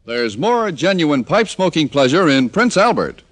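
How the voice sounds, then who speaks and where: Male American announcer, vintage radio; animated intonation, polished sales delivery, music on an old recording. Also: a little high, measured pace. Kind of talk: 1950s radio announcing, scripted ad read.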